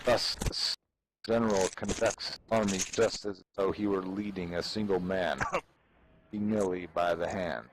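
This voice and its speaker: Slow, low-voiced man reading solemnly, noisy recording. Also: fairly even intonation. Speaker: reader performing a dramatic reading. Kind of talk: dramatic reading of an ancient military treatise.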